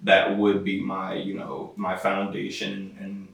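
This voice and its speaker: Very deep-voiced young man, flat delivery. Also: slow pace, creaky. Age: 20s. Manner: casual, slangy, rambling. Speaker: interviewee. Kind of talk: casual documentary interview. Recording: distant mic in a very echoey room.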